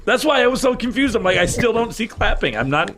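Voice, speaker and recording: A man chatting casually between takes as an amateur actor, halting and apologetic. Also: extremely high pitch, very animated, fairly bright tone, slight background noise. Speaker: amateur actor in an audio play.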